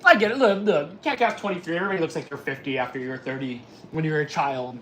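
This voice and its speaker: Bright-voiced man in his forties, livestreaming. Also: a little high, fast talker, a little hiss or hum. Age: 40s. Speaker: livestream host. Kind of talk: casual chat with live viewers.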